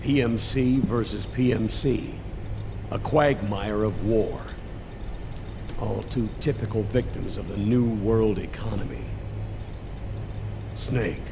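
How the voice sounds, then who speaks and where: Grave, gravelly military commander. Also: male voice, fairly low pitch, background noise. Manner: solemn, measured briefing. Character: grave older male military commander, earnest and persuasive. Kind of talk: dramatic video game voice acting.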